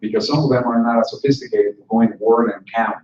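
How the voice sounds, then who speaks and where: A man's voice: medium pitch, fairly even intonation, quick pace, a little mellow. Man presenting in a roomy hall. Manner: conversational, joking with the audience. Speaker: conference presenter. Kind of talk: conference talk. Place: conference room. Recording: roomy hall sound from a distant mic, dull and slightly noisy.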